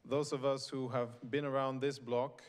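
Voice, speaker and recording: A man who grew up in Sweden, giving a prepared speech to a live audience at a conference, in a measured, emphatic and deliberate way. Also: mid-range voice, flat delivery. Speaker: conference speaker. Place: conference venue in New York.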